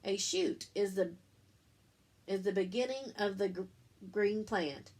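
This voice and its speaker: A woman reading a picture book to children like a teacher, in simple, deliberate phrases, pausing to explain and ask questions. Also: medium pitch, a little mellow. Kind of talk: read-aloud storytime with explanatory asides.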